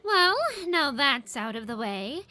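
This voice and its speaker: Impish kid genius whos secretly old, boastful n teasing, super high n bouncy, audio drama, bit of noise. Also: female voice, very animated, pushed and bright, full character voice. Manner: teasing, haughty, quick to boast. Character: impish elderly scientist woman in a young girl's body. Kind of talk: fan audio drama acting.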